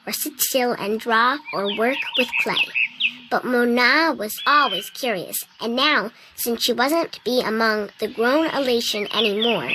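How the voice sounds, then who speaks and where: A woman voicing a small winged girl who earnestly tells a children's tale, in video game dialogue, with loud noise behind. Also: a little high. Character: small winged girl, sweet and eager storyteller.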